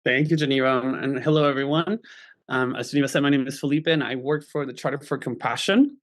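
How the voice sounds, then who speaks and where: A man's voice: mid-range voice, fast talker. Chilean-born guy presenting on a zoom call, friendly and chatty. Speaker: panel speaker. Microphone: video call.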